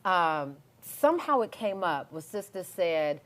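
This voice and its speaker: A woman's voice: on the low side, very animated, a little slow, fairly bright tone. A woman hosting a podcast panel discussion, conversationally guiding her guests with questions. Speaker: podcast host. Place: podcast studio.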